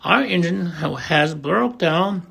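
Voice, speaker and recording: A man's voice: fairly high pitch, animated, takes it slowly, creaky, sharp tone, husky, heavily acted. A man doing a chorus of pleading toys. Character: group of pleading dolls and toys.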